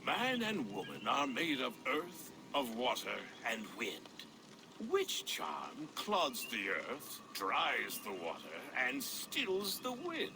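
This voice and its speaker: Game role: superstitious riddling herbalist, unhurried, very animated, theatrical, over noisy ambience. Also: male voice, mid-range voice, exaggerated voice. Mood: mysterious, testing. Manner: slow, theatrical, ritual phrasing. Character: whimsical superstitious rustic herbalist, speaking in riddles. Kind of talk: dramatic video game acting.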